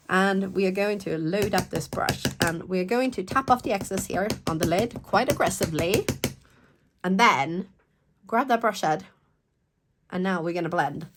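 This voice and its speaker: Croaky, chatty woman with a cold. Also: lowish voice, animated, normal speed, slight background noise. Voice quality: slightly croaky, congested. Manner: playful, rambling, self-interrupting asides. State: recovering from a cold, sniffly. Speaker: craft tutorial host. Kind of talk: casual, chatty craft demonstration.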